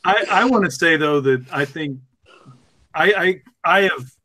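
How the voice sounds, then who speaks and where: A man speaking casually on a podcast as a producer, earnest and a little halting. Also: fairly high pitch, expressive, a crowd in the background. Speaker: podcast producer who picks stories.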